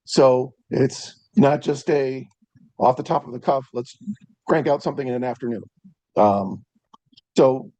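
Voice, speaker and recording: A man's voice: medium pitch, no echo, rough recording. Guy hosting a tech meetup on a handheld mic, chatty w lots of ums, dry sound. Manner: relaxed, chatty, with frequent ums. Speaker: meetup host. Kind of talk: casual meetup announcements and speaker introduction. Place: meeting room. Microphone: handheld microphone.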